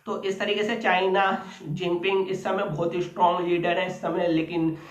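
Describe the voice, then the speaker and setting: A man's voice: high-pitched, level tone, normal speed. A man giving an unscripted, opinionated political commentary monologue, rambling and addressing his listeners directly. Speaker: host of a political commentary channel. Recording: echoey room, dull lo-fi microphone.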